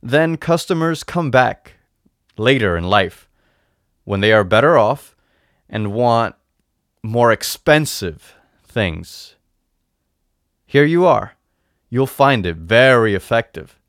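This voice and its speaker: A man's voice: mid-range voice, animated, slow pace, pauses often, fairly bright tone, no echo, clean studio sound. A man voicing a sly old shopkeeper in a storybook tale, patient, persuasive and emphatic, savoring his words. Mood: obliging. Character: sly old male shopkeeper selling potions, patient and coaxing. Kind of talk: storybook character voice in a read-aloud tale.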